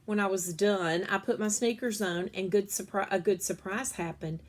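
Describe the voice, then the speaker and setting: A woman's voice: medium pitch, fairly even intonation, normal speed. Woman reading a children's book aloud. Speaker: read-aloud storyteller.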